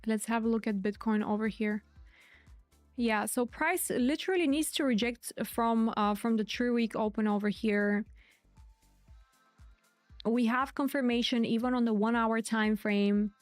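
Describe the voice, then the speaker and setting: Higher-voiced woman streaming crypto commentary. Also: fairly even intonation, measured pace, pauses often, dry recording, faint music behind. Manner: chatty, repeats key points. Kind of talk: live stream market commentary.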